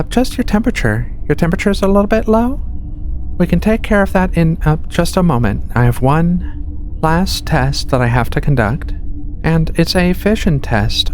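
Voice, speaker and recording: An ASMR performer playing a giant alien researcher, soft-spoken, gentle, amused and reassuring in a medical exam roleplay. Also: mid-range voice, lightly acted. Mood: calm, attentive. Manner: soft-spoken, reassuring, unhurried with hesitant murmurs. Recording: steady ambient noise of ship sounds. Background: ship ambience.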